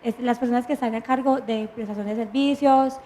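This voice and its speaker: A woman's voice: fairly high pitch, fairly even intonation, a little fast, mellow, slight background noise. A woman with a Colombian accent, a lawyer explaining pensions patiently and point by point on a studio talk show. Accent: Colombian Spanish. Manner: patient, methodical, lists points and answers her own questions.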